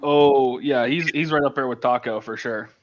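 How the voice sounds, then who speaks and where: A man's voice: medium pitch, normal speed, muffled recording. A man co-hosting a podcast, giving short, laid-back replies in casual chat. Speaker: podcast co-host.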